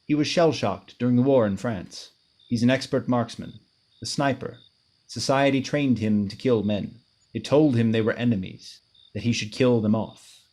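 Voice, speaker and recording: A man plays a crime fighter from a 1930s radio serial. He is grave and brooding, with a middling pitch. His delivery is earnest and philosophical, with an air of command. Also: moderate pace, a little mellow, lightly acted. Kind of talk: 1930s radio drama acting.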